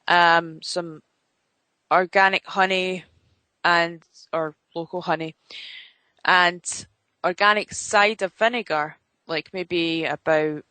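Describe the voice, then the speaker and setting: A woman's voice: lowish voice, a bit bright, dry recording, low-quality recording. A woman hosting an internet radio show, chatting casually and rambling with many fillers. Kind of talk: casual on-air chat.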